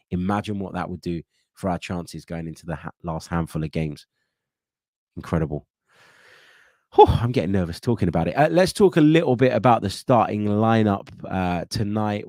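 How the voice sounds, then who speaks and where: A man's voice: low voice, a little mellow, no echo, studio quality. A man hosting a football channel, giving a casual match preview with a blocked nose, thinking aloud with fillers and restarts.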